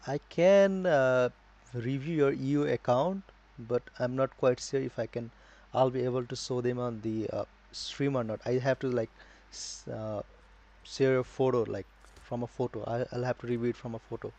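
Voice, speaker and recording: A man with a Nepali accent chatting casually as a live game streamer, halting as he thinks aloud. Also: medium pitch, fairly even intonation, a little mellow, dull audio.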